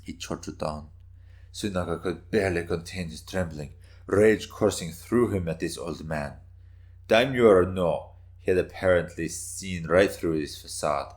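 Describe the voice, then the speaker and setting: Very deep-voiced male story narrator. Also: unhurried. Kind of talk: scripted dramatic story narration.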